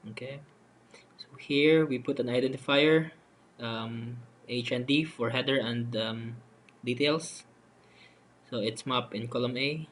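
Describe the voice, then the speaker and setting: Male voice, slightly low pitch, halting tutorial narration, step-by-step explanation, background noise. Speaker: software demonstrator. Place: voice-over for a screen recording. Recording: noticeable steady background noise.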